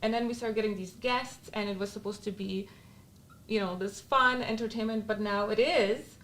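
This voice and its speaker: A woman's voice: mid-range voice, fairly even intonation, moderate pace, fairly bright tone. A Polish-born woman with a Polish accent, chatting candidly as a guest podcast co-host and laughing often. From Poland. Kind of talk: casual chat.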